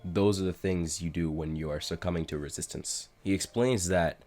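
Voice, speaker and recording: A man's voice: low-pitched, steady tone, normal speed, a little mellow, faint music behind. A man with a head cold and a stuffed-up voice, talking casually to camera in a self-help explainer vlog, often saying "like" and "you know.". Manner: conversational, frequent "like" and "you know" fillers. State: congested from a cold. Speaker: self-improvement YouTuber.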